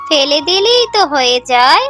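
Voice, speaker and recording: A woman's voice: high-pitched, big pitch swings, moderate pace, bright, forceful tone, faint music behind, heavily acted. A woman voicing a haughty, scornful village tigress auntie in a children's cartoon, scolding and condescending. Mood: contemptuous.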